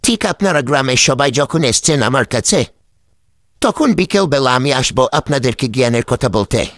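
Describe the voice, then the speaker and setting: A man's voice: medium pitch, lively intonation, normal speed, a bit bright, dry recording, clean studio sound, natural voice. A man playing a droll, wily village wise man in a cartoon, often repeating his phrases. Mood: knowing. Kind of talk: animated cartoon dialogue.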